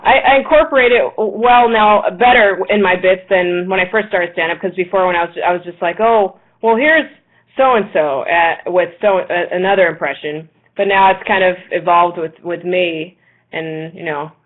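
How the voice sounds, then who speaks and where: A woman from Los Angeles, a guest comedian, chatting casually and modestly, often repeating and restarting her words. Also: on the low side. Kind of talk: casual interview chat. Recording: tinny phone-like audio over a video call app.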